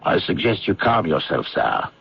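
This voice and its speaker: A man acting in a vintage radio drama as an unflappable, courteous English police inspector with a formal, measured, authoritative delivery. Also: low-pitched, big pitch swings, gravelly, husky, exaggerated voice. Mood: stern, controlled. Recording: dull, noisy old radio broadcast.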